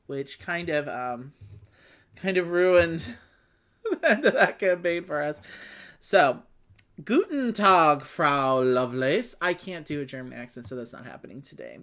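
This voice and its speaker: A woman with a low voice and very lively intonation hosts a board game play-along. She talks casually, a little phlegmy, tossing in playful, wry asides as she goes through the game. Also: measured pace. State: slightly phlegmy. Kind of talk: casual chat with read-aloud game text.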